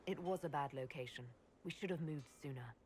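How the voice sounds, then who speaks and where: An actress playing a calm, earnest leader in a fantasy video game, with a dry, restrained manner. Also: female voice, low-pitched, a little fast, a little hiss or hum, close to an everyday voice. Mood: sober, earnest. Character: composed, earnest young female fantasy leader, dryly wry. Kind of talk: video game character acting.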